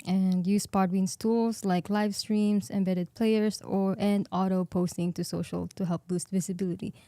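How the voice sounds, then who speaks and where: A woman's voice: medium pitch, fairly even intonation, unhurried, mellow, no room sound. A woman co-presenting a podcasting webinar, mostly chiming in with supportive remarks and giggles. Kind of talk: casual webinar chat.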